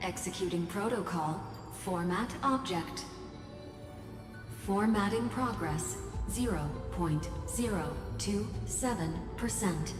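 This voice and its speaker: An actress playing an impassive computer system in a game, giving announcements in a flat, procedural way. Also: female voice, mid-range voice, slow pace, natural voice. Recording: echoey, long reverb, music behind. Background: music.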